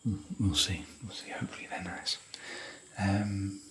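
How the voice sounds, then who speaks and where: A man whispering softly in a rambling, chatty, gentle way while hosting an ASMR hotel room tour. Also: low voice, steady tone, measured pace, low-quality recording. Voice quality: soft whisper.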